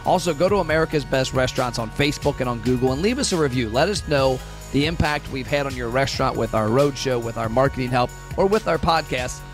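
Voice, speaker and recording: A man's voice: mid-range voice, expressive, fast, music in the background. A man hosting a podcast, reading a scripted appeal to listeners in a direct, upbeat way. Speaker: podcast host. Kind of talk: scripted promo read.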